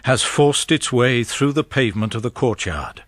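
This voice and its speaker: An actor playing a grave, watchful storyteller, narrating a gothic tale in a measured, atmospheric way. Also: male voice, mid-range voice, animated, a bit bright, no echo, close to an everyday voice. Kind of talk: scripted dramatic narration.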